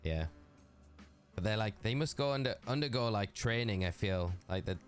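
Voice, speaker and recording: A man's voice: on the low side, animated, normal speed, soft background music. A man with a Geordie accent chatting casually and rambling on a live game stream, talking to his chat.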